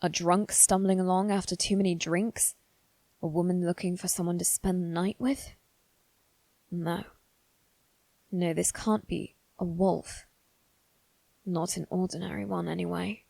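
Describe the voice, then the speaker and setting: Woman as a slow, haughty young noble boy. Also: fairly low pitch, steady tone, creaky, dark tone, no echo. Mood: hushed, fearful. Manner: halting, formal, self-reassuring delivery. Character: haughty young noble boy, anxious but stubbornly composed. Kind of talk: dramatic ASMR roleplay monologue.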